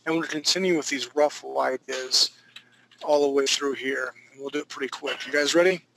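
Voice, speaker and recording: A man's voice: mid-range voice, steady tone, brisk, creaky, background noise. A man in his thirties teaching a live drawing class, talking through each stroke with chatty joking asides. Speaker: drawing instructor.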